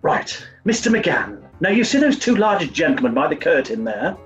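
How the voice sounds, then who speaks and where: A man acts a well-meaning, dim toff in a 1940s radio comedy. The voice sits very high and is fussy and plummy. The sound is dull, with faint music behind. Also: sing-song, moderate pace. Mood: eager. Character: dim-witted, earnest upper-class toff turned amateur sleuth.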